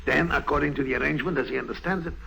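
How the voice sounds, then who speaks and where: An actor playing a self-satisfied, scheming bank official in a 1950s radio drama, with a fussy, conspiratorial way of talking. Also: male voice, fairly high pitch, racing, creaky, a crowd in the background. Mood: smug, scheming. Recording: vintage 1950s radio recording.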